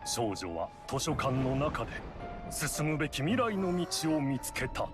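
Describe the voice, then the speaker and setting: A man's voice: mid-range voice, sing-song, a little fast, lightly acted. A man voicing a solemn storyteller in a game, narrating a fantasy tale dramatically. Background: music.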